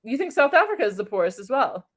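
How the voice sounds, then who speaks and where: A woman's voice: fairly high pitch, very animated, quick pace. A woman from New York City, chatting as an online English tutor in a friendly, easygoing way over a video call. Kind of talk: casual conversation.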